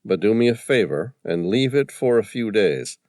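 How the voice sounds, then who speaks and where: A man voicing a calm adult male investigator with a dry, understated manner. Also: fairly low pitch, moderate pace, dry recording, voice pushed up, lightly acted. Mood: wry.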